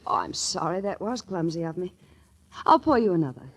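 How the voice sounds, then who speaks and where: Actress as a coy heiress with lively pitch. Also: female voice, fairly quick, noisy background, lightly acted. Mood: coy, gracious. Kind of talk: 1940s radio drama acting. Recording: 1940s radio recording.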